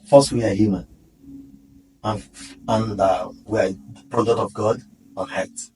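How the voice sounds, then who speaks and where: A man's voice: fairly low pitch, steady tone, measured pace, a little mellow, recorded from a distance, slight background noise, low-quality recording. A Nigerian man, founder of a podcast studio, earnestly urging listeners to speak up in a casual interview. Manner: earnest, exhorting.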